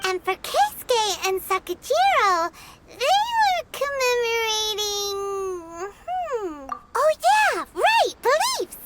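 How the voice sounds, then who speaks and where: A woman voicing a tiny, childlike, excitable fairy companion in a video game, with a squeaky voice and an eager manner. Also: slow, heavy background noise, heavily acted. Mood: thoughtful, eager. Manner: refers to herself by name, eager. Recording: loud game music behind the voice.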